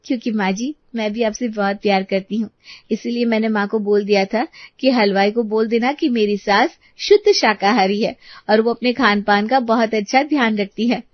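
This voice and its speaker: A woman voicing an eager young daughter-in-law in an animated moral story, emphatic and sweetly insistent. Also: on the high side, moderate pace, no room sound, highs cut off, natural voice. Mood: affectionate.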